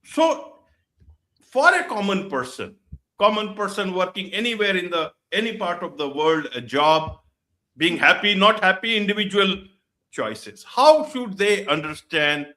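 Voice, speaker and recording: A man's voice: high voice, expressive, unhurried, sharp tone. A man hosting an online interview show, speaking formally and repeating his welcomes. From India. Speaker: show host.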